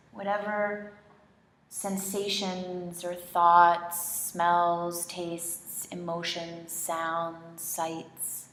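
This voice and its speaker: Female meditation instructor, very slow and gentle delivery, long pauses, echoey studio. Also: on the low side. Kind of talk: slow guided relaxation meditation, soothing instruction. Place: indoor studio, leading a group in person. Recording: roomy, echoing studio sound.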